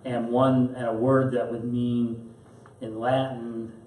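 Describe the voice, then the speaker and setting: A man teaching a class and answering questions in a thoughtful, halting way. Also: mid-range voice, little pitch movement, mellow. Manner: thoughtful, halting with fillers and restarts. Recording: roomy echo, mic at a distance, faint hiss.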